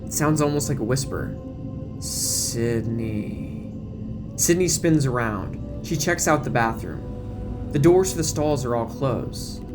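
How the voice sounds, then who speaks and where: A man's voice: medium pitch, lively intonation, moderate pace. A man giving dramatic scripted narration as the narrator of an audio drama, with music behind him.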